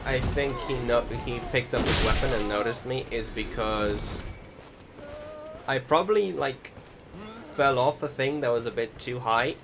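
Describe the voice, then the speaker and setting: A man's voice: mid-range voice, measured pace. A young man giving casual voice-over commentary on his gameplay, rambling with many fillers and restarts. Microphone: desktop recording setup. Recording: game music behind the voice.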